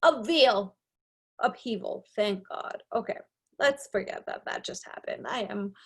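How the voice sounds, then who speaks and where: A woman's voice: mid-range voice, unhurried, slightly rough. A young woman from Nepal, with English as her second language, explaining sociology concepts in a recorded slide lecture and often checking in with "right?". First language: not English. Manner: explanatory, frequent tag "right?". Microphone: webcam on a video lecture.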